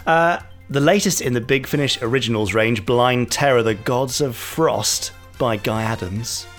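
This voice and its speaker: A man's voice: mid-range voice, a little slow, a bit bright, soft background music. Chatty man co-hosting a podcast. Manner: chatty, self-deprecating. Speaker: podcast co-host. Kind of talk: casual chat and announcements.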